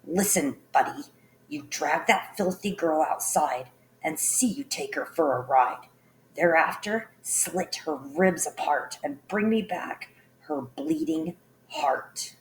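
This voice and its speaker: A woman voicing a spoiled, vain and cruel queen who yells shrill threats. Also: expressive, normal speed, vocal fry, hardly any pauses, reverberant, recorded from a distance, slight background noise, poor audio. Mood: furious.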